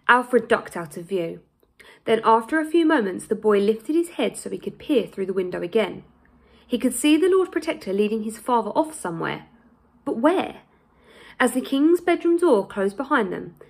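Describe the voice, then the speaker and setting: Creaky-voiced young woman reading a storybook. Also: mid-range voice. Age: young adult. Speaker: primary school teacher reading aloud. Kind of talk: children's storybook read-aloud. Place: small indoor room, plain wall.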